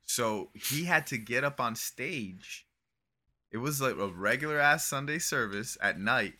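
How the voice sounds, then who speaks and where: Bright-voiced young man, loose rambling chat. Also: medium pitch, measured pace. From Brazil. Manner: relaxed and rambling, swearing, lots of "bro" and "like". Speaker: podcast co-host. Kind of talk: casual chat.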